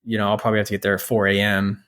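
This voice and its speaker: A man's voice: fairly low pitch, monotone, rapid-fire, fairly bright tone, clean tone. A man in his thirties co-hosting a podcast in casual, wry chat, repeating phrases for emphasis. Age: 30s. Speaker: podcast co-host.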